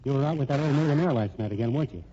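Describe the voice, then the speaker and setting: A man's voice: medium pitch, racing, warm tone, natural voice. An actor playing an earnest young working man, a reproachful jilted suitor, in old-time radio drama style. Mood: reproachful, pleading. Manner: earnest, scolding. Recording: muffled old radio recording, faint noise.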